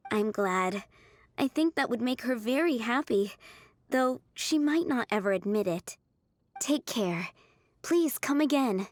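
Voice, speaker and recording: A woman playing a kind, earnest young restaurant server in a video game, gentle, measured and polite. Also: a little high, lively intonation, no echo. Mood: glad.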